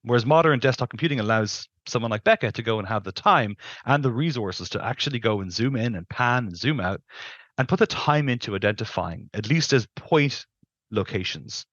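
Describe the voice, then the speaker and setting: A man's voice: mid-range voice, animated, brisk, a bit bright, dry recording, dull audio. A middle-aged man, a scientist being interviewed, explains things informally and emphatically, rephrasing for precision on a headset mic. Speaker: planetary scientist, interview guest. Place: indoors on a video call.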